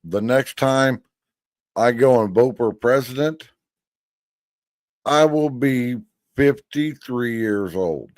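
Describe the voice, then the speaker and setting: A man's voice: mid-range voice, slow pace, pauses often, darkish tone, no room sound. A man in his fifties giving blunt, folksy commentary, now and then swearing. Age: 50s. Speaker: commentator reacting to a hearing. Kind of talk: casual commentary over a clip.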